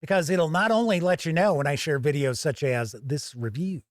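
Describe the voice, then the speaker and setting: Higher-pitched man, conversational reviewer. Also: moderate pace, no room sound, clean studio sound. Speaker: host of a tabletop game review channel. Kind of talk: conversational video product review.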